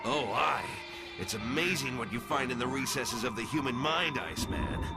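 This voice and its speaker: A man's voice: medium pitch, moderate pace, lightly acted. A man playing a vicious, gloating young mutant villain in a motion comic, taunting theatrically. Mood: cruel taunting. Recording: loud music drowning the voice.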